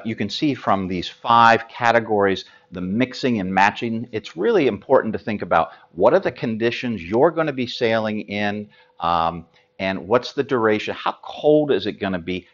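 Male American presenter, fifties, lower register, informal halting explainer style, slightly dull studio audio. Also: lively intonation. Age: 50s. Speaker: sailing gear expert presenter. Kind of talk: informal product explainer to camera.

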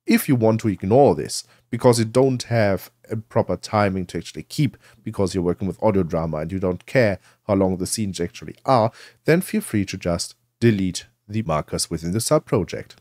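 Low-voiced man explaining software, dry sound. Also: moderate pace, no echo. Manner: conversational, explanatory, asks and answers own questions. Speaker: tutorial presenter. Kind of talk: software tutorial narration.

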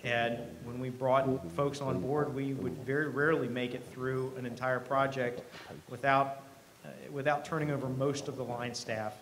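A man's voice: mid-range voice, little pitch movement, normal speed, a bit bright. Middle-aged man giving keynote, noisy room. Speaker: company president, keynote speaker. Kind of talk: conversational keynote speech. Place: event hall stage. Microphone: podium microphone. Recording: live podium speech with clear background noise.

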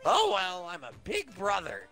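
A man's voice: sits high, wildly swooping pitch, normal speed, sharp tone, faint music behind, voice pushed up, heavily acted. A man voicing a gleefully menacing mascot bear from a video game, taunting in a sing-song way.

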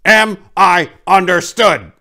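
A man's voice: high voice, slow pace, creaky, bright, forceful tone, pitched above the performer's own voice, full character voice. A man voicing a stern, bossy Santa Claus who makes pompous pronouncements in a storybook reading. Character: commanding, indignant, bossy male Santa Claus.

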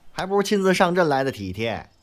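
A man's voice: fairly high pitch, sing-song, fast talker. A man acting a cheeky, grumbling sidekick in an audio drama, slangy and exasperated as he teases his boss. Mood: teasing, grumbling.

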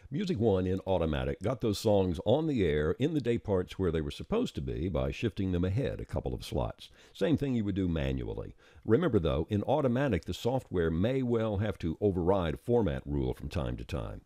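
Very deep-voiced man narrating a tutorial. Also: very animated, warm tone, no room sound, clean studio sound. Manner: measured, step-by-step instructing. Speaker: software developer giving a tutorial. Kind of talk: explanatory software tutorial narration. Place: close-miked dry voice-over.

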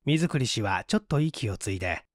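A man's voice: mid-range voice, animated, moderate pace, no echo, studio quality. A man reading scripted literary narration as an audiobook narrator.

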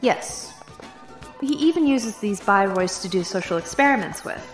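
A woman's voice: mid-range voice, lively intonation, normal speed, faint music behind, natural voice, low-quality recording. A woman playing a candid, chatty young graduate student in an audio drama. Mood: explaining. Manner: conversational, candid.

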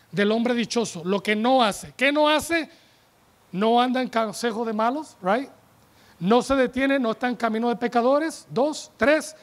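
High-voiced man preaching with handheld mic. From United States. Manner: animated and joking, calls on the congregation. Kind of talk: sermon. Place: church tent stage with a pulpit. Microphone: handheld microphone.

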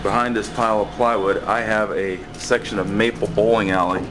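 A man's voice: lowish voice, fairly even intonation, a little fast, noisy background. A man giving a casual, rambling walkaround tour of a cluttered basement workshop where he does building maintenance, talking with many fillers and restarts into a handheld camera. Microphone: handheld camera mic, speaker off screen.

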